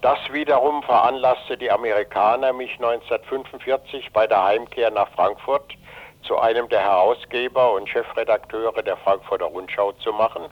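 A man's voice: mid-range voice, moderate pace. Elderly man on dull lo-fi radio. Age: 80s. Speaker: interview guest, retired journalist and anti-fascist activist. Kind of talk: radio interview, political account.

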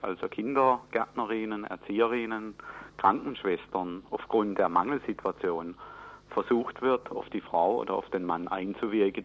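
A man being interviewed, explaining things off the cuff in a matter-of-fact way, step by step. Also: lowish voice. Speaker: interviewee explaining church employment practice. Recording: narrow, phone-like radio recording.

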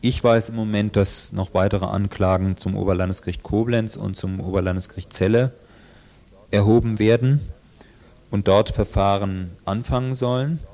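A man giving a spontaneous legal analysis in a radio interview, speaking haltingly in long, involved sentences. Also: lowish voice, fairly even intonation, dark tone.

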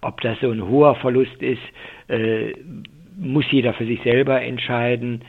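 A man's voice: mid-range voice, flat delivery, normal speed, vocal fry, breathy edge. Older man on a thin phone line. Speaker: interviewed expert, professor. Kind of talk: explanatory radio phone interview. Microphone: telephone. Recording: muffled, thin telephone line.

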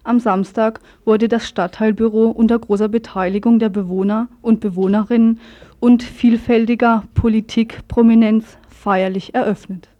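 A woman's voice: fairly high pitch, steady tone, normal speed, dull audio. A woman presenting scripted local news on the radio as the programme's host.

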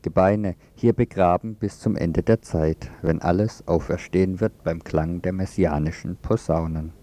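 A man presenting on radio, reading scripted narration and poetry in a measured, solemn manner. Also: low voice, fairly even intonation, dark tone.

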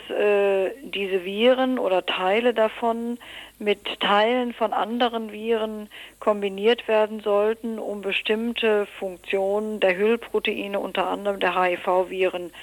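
A woman speaks slowly at a medium pitch as an expert being interviewed on the radio. Her explanation is careful and halting, with a dry sound over a phone line. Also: dry recording.

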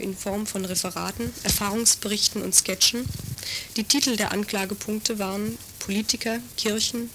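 A woman from an activist group reading a prepared report on the radio in an even, read-aloud manner. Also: medium pitch, a little hiss or hum.

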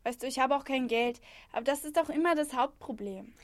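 Cool young street musician played by a woman: slightly high voice, slangy, hesitant, frustrated, close dry sound. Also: fairly quick, dry recording. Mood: frustrated, resigned. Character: cool young female street songwriter, frustrated, longing for freedom. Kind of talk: German radio play dialogue.

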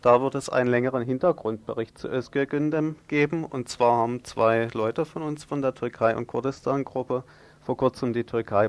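A man's voice: mid-range voice, no room sound. A man hosting a radio news magazine, presenting and interviewing.

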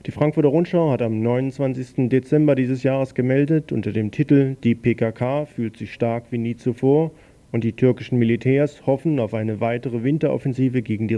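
A man presenting news on a small radio program, reading in a measured, matter-of-fact way. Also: medium pitch, mellow. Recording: dull lo-fi radio recording.

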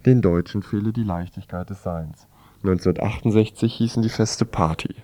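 A man's voice: lowish voice, moderate pace, dark tone, no echo. A man presenting a scripted magazine review on the radio as narrator.

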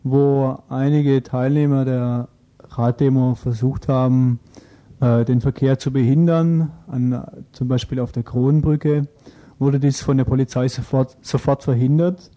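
A man's voice: mid-range voice, fairly even intonation, a little slow, warm tone, dull audio. A man giving a spontaneous interview account as a local activist, hesitantly searching for his words.

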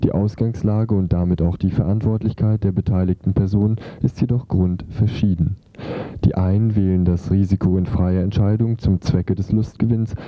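A man's voice: low-pitched, no room sound. A man presenting a scripted radio feature, reading it out on a dull lo-fi recording.

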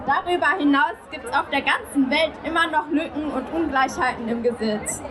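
High-pitched young woman speaking over a loud crowd. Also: normal speed. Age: young adult. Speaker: youth group leader giving a rally speech. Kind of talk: prepared political speech to a crowd. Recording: loud crowd noise at outdoor rally.

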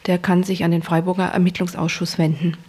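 A woman's voice: on the low side, flat delivery, fairly quick, a little mellow, slightly rough. A woman presenting on radio, reading out a scripted announcement.

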